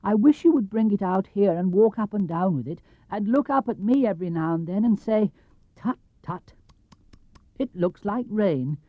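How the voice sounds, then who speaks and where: A man voicing an earnest, naive toy bear who plots in slow, deliberate phrases for a storybook reading. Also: high voice, lively intonation, dry recording, voice pushed up. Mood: earnest, scheming. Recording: muffled vintage cassette or LP sound.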